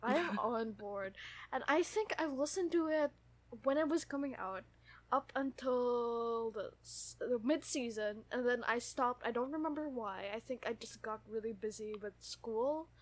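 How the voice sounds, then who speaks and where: A young adult woman in casual fan chat as a podcast co-host, animated and enthusiastic, often repeating her phrases. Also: on the high side, normal speed.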